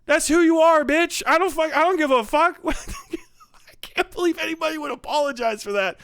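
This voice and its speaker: A man giving profane, casual livestream commentary, rambling and thinking out loud as he goes. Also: very high-pitched, normal speed, no echo, studio quality. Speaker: live streamer reacting to videos.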